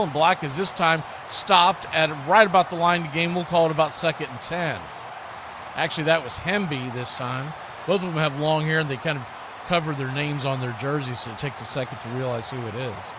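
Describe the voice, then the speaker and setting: A man doing live play-by-play radio commentary of an American football game, describing the action in short phrases. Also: fairly high pitch. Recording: clearly noisy live stream recording.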